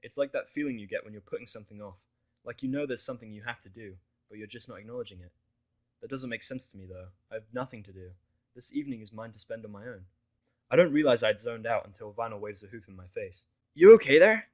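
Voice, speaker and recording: A man's voice: lowish voice, quick pace. A man reading scripted first-person fiction as the narrator of an audio story.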